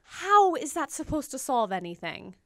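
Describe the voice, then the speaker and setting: An actress playing a weary, hesitant insect girlfriend in an animated film, speaking in a reluctant, understated, natural way. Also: high-pitched, moderate pace, gravelly, dry recording, heavily acted.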